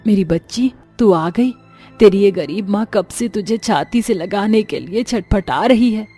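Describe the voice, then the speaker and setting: A woman's voice: mid-range voice, lively intonation, measured pace, warm tone, husky. A woman playing a poor, humble widowed mother who pleads emotionally in a storybook drama. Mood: tender, hurt. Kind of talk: audio storybook drama acting. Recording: music under the voice.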